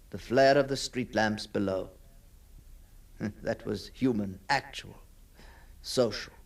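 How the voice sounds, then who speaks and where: A man's voice: medium pitch, gravelly, exaggerated voice. An actor playing an obsessed, brooding man who stalks apparitions, telling his ghost story in a theatrical, intense monologue. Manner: theatrical, hushed passages swelling into fervent declamation. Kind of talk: dramatic first-person ghost story monologue.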